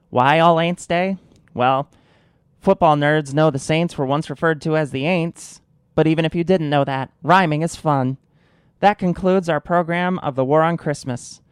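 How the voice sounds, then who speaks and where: Male news anchor acted, wry, deadpan narration, resonant, dry studio sound. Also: a little high, clean tone, dry recording, lightly acted. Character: wry, mock-solemn news anchor narrating a holiday war retrospective. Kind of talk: scripted radio drama narration.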